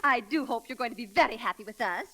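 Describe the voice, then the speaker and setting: A bossy American social climber in an old radio comedy. Her high, bright voice swoops with affected, gushing airs as she gives orders. The recording is crackly. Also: animated, fast, heavily acted. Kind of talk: 1940s radio comedy acting.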